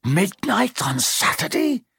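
A man voicing an anxious teenage boy with a hoarse voice. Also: high voice, very animated, takes it slowly, pushed and bright, dry recording, studio quality, voice pushed up, exaggerated voice. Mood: panicked.

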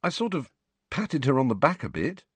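A man acts out an unsure, sheepish teenage schoolboy for a storybook. The delivery is hesitant and a little defensive, with lively pitch movement and a dry, close sound. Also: unhurried, breathy edge, no room sound, full character voice.

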